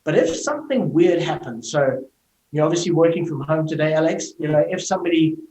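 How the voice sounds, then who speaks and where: Man, South African accent, middle-aged, slightly high voice, fluent explainer, interview guest, roomy echo. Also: animated, a little fast, mellow, a little hiss or hum. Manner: explanatory, fluent, uses fillers like "you know". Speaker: tech company executive, guest. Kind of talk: interview answers.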